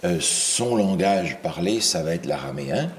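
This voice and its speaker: A man leads a Bible lesson with a very low voice that still rises and falls a great deal. He teaches by questioning his listeners and halts often on fillers and restarts. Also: expressive, a little slow, slight background noise. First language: not English. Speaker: preacher teaching a Bible study.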